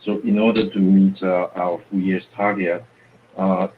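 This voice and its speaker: A man's voice: sits low, level tone, unhurried. A man who is a native Chinese speaker, asking questions as an analyst on a conference call. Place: remote phone conference. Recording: dull conference-call line with faint noise.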